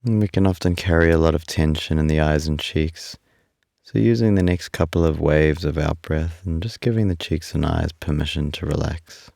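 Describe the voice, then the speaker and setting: A man leading a guided body scan meditation in a calm, unhurried, soothing way, leaving long pauses between instructions. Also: very deep voice, vocal fry, dry recording, studio quality.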